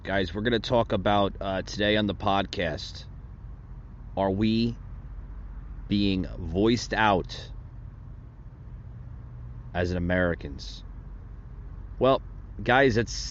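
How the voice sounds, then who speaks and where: A man's voice: fairly low pitch, highs cut off, slight background noise. A man hosting a solo political commentary podcast, rambling and indignant, with many fillers and restarts. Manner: indignant and preachy. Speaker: podcast host.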